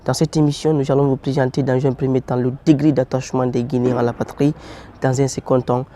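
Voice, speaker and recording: Guy presenting a street show, flat steady voice, dark tone, faint hum behind. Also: mid-range voice, fast talker. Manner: formal, announcing. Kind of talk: scripted presenting.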